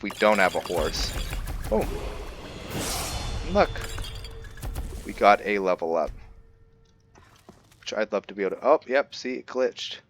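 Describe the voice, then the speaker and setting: A man's voice: on the low side, fairly bright tone, slight background noise. A man giving casual gameplay commentary, thinking aloud and musing to himself as he goes. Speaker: gameplay video host.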